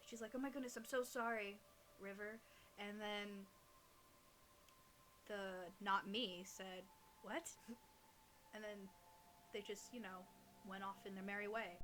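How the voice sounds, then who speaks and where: A woman's voice: medium pitch, steady tone, unhurried, pauses often, fairly bright tone, low-quality recording. A young woman co-hosting a casual show, reading creepy stories aloud and breaking in with joking asides. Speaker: co-host reading listener stories.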